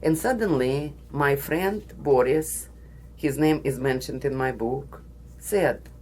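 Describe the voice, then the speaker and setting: A woman's voice: very deep voice, a little mellow, slight background noise. A woman from the former Soviet Union with a Russian accent, giving a personal story speech in a studio. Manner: slow, deliberate, with pauses. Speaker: guest speaker.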